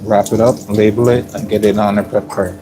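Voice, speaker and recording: A fairly low-pitched man with a raspy edge talks viewers through his prep in a work kitchen. He keeps it loose and conversational, with frequent ums and throat clearing. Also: little pitch movement, brisk, noisy background. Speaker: chef livestreaming from work. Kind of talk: casual live-stream narration.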